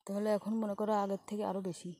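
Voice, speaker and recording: A woman's voice: mid-range voice, level tone, very fast, dark tone, no echo, lightly acted. A woman with a Bangladeshi accent playing a brash, persuasive city woman, chatting casually in a staged phone-call audio story. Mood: boastful, breezy. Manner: casual, matter-of-fact, coaxing.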